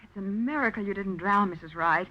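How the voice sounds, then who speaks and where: A brisk, plain-spoken female sidekick to a detective, played by a radio actress. Her voice sits at a middle pitch, with 1940s radio diction and a dull vintage sound. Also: natural voice. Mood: earnest. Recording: dull old radio recording.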